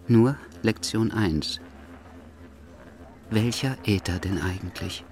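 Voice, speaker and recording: Paranoid brooding man, low voice, drawn out and intense, theatre monologue, some noise behind. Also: darkish tone. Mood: eerie, urgent. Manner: intense, halting, emphatic, conspiratorial. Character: brooding paranoid man warning of lies everywhere.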